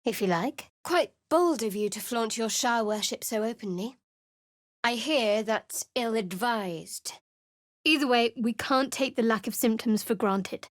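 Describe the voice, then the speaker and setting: A woman's voice: on the high side, a little slow, dry recording, close to an everyday voice. A woman playing a guarded, sardonic young female cleric in a fantasy video game, speaking in a cool, dry, clipped way. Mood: wry, wary.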